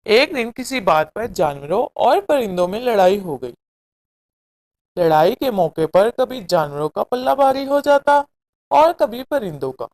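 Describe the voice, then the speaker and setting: Slow, expressive woman storyteller. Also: mid-range voice. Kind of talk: scripted moral story narration for children.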